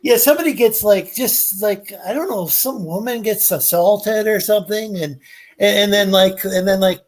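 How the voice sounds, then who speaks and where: A man on a podcast, chatting casually, with halting speech full of restarts and "like". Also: sits high. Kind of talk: casual chat.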